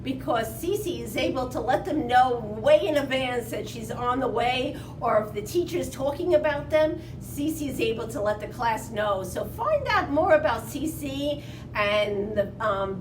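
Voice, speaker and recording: Casting: woman librarian, fairly high voice, hesitant informal recommendation, recorded at a distance. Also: animated, measured pace. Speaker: children's librarian. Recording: distant mic, noisy background.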